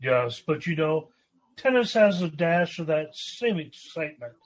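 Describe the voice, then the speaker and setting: Guy doing a stuffy rich young suitor, high voice, slow n genteel, hesitant, radio comedy. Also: big pitch swings. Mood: genial, stuffy. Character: stuffy, polite, well-to-do young businessman suitor. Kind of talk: 1940s radio comedy acting.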